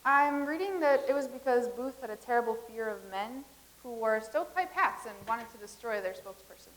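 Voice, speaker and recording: Brief: actress as earnest, matter-of-fact woman rebel from the future, slightly high. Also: expressive, fairly quick, a little hiss or hum, close to an everyday voice, rough recording. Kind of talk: staged reading.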